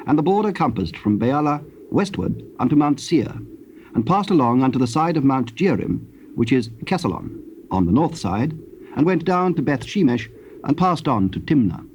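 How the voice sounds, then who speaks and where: A man with a British accent giving formal, measured narration for a dramatized scripture reading. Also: mid-range voice, very animated, a little mellow. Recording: noisy old cassette tape recording.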